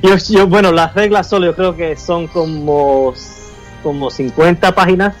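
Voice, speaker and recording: A man raised speaking Spanish who now lives mostly in English talks haltingly, pausing often and reaching for words. His voice sits a little above average in pitch. Faint music plays underneath. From United States, Cuban family. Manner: halting, searching for Spanish words, many fillers. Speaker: game designer guest. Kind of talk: casual interview chat.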